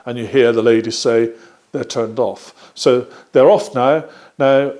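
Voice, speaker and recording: A British man presenting a product demonstration step by step, explaining methodically and often pausing mid-sentence. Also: medium pitch, fairly even intonation, darkish tone.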